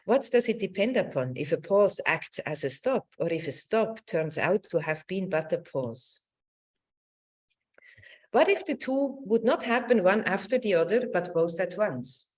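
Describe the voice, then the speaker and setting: A woman's voice: on the low side, expressive. A middle-aged woman giving an academic lecture, thoughtful in phrasing and partly reading from notes. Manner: halting, searching delivery with repeated words and tag "no?".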